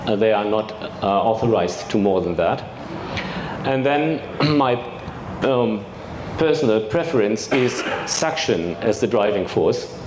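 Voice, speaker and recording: A man with a German accent giving a technical presentation at a trade fair, measured, with fillers and throat clearing. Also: medium pitch. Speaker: industry expert presenter. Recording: echoey hall with background noise.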